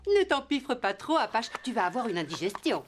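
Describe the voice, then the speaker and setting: A woman voicing a teasing, mildly scolding female ranch animal in an animated series. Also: a little high, fairly quick, slight background noise. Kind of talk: animated series voice acting, French dub.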